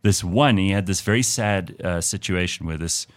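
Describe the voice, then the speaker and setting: A man with a South African accent hosting a radio talk show, telling stories in a lively, animated way and putting on the voices of the people he quotes. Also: low voice, moderate pace, clean tone. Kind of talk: casual talk radio chat.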